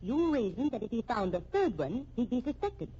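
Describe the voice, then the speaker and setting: Casting: needling female amateur sleuth, arch fifties radio acting, high animated voice. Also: normal speed, husky. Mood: confident, accusing. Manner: arch, pointed, accusing. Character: shrewd, needling amateur woman sleuth confronting a suspect. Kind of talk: 1950s radio drama acting. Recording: dull old radio recording.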